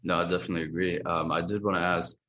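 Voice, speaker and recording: A man hosting an interview, asking his guest questions in a measured, slightly hesitant way. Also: low voice, level tone, a bit bright. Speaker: podcast host.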